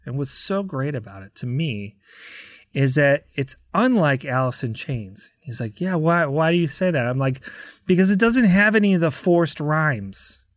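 Give me an American man with a slightly high voice and dry sound, an interview guest spinning casual animated stories. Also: normal speed, dry recording. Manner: conversational, acts out quoted dialogue. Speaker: interview guest, rock drummer.

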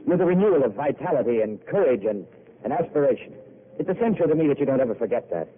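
An actor playing a weary, tipsy wanderer-poet with florid, theatrical speech in an old radio drama. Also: male voice, medium pitch, big pitch swings, fast talker, slight background noise, natural voice. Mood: earnest. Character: weary, drunken male poet-wanderer, eloquent and romantic. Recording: tinny old radio broadcast.

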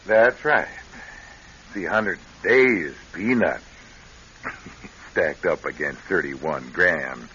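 An actor in an American radio drama playing a sly, needling schemer who talks in folksy, ungrammatical teasing. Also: male voice, low-pitched, drawn-out words, long pauses, bright, forceful tone, heavily acted. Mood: smug, needling. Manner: drawling folksy bad grammar, teasing. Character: sly, folksy, needling male schemer angling for stolen money. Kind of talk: classic radio drama acting. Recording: hissy, muffled old radio recording.

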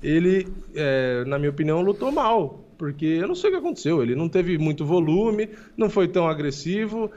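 Brazilian man, rapid speech, higher pitch, casual fight analysis, muffled audio. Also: very animated, darkish tone. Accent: Brazilian Portuguese. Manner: fast, analytical, lots of "né" and "tipo". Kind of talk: casual MMA discussion podcast.

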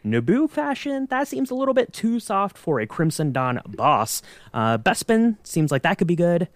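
A man's voice: high-pitched, sing-song, moderate pace, no echo. Playful man bantering, close dry mic. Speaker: podcast co-host. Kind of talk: casual fan banter.

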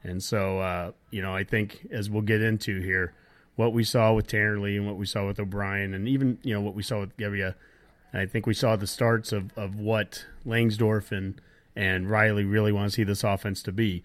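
A man's voice: lowish voice, level tone, moderate pace, no room sound. A man co-hosting a casual football podcast, emphatic and opinionated, often correcting himself. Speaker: podcast co-host.

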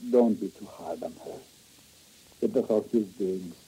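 A man's voice: lowish voice, a little slow, dark tone, slightly rough, exaggerated voice. An actor playing an oily, greedy male local guide, stammering and flowery, in an old radio drama. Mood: confessing. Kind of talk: 1950s radio drama acting. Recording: muffled old radio broadcast.

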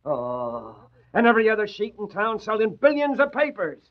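An excitable newspaperman pitches his complaints high and theatrical. He blusters and wisecracks in exasperation, like 1940s radio drama, through a dull old recording. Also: normal speed. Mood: exasperated, indignant.

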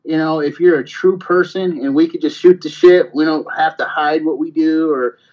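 Male podcast host, on the high side, profane and slangy, repetitive and blunt, dull lo-fi sound. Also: steady tone, moderate pace, a little mellow. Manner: blunt, repeats himself, slangy street talk. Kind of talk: casual, profane podcast chat.

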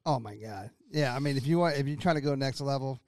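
A man's voice: medium pitch, quick pace, a little mellow, no room sound, clean studio sound. A man co-hosting a podcast, chatting casually in an emphatic, agreeable way. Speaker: podcast co-host. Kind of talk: casual chat.

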